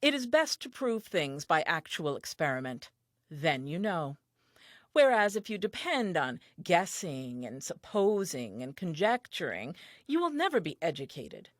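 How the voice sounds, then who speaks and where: A woman's voice: low voice, sing-song, a little slow, dry recording, studio quality, heavily acted. An actress playing an earnest, naive and tender first woman, telling her diary in an audio play with wide-eyed expression. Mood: proudly earnest.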